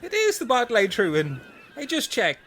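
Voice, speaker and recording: A man's voice: very high-pitched, huge pitch swings, measured pace, fairly bright tone, slight background noise, exaggerated voice. A man playing a naive, disbelieving castaway in a comedy radio play. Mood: insistent.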